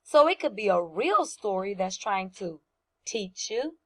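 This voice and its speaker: Very slow-talking woman teaching young children. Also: mid-range voice, big pitch swings, a bit bright. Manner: simple, explanatory, with repetition and questions. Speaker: first-grade teacher. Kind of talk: read-aloud lesson for young children.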